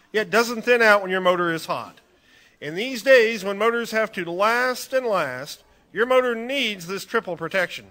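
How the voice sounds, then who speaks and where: A man reading a 1940s-style radio commercial as the announcer, in an upbeat, persuasive pitchman way. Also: sharp tone.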